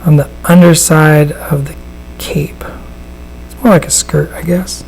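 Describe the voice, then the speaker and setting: Raspy, chatty man painting live. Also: on the high side, unhurried, a little hiss or hum, poor audio. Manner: relaxed and chatty, lots of fillers and restarts. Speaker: miniature painter and host. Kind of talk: casual live painting demonstration. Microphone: studio microphone at a desk.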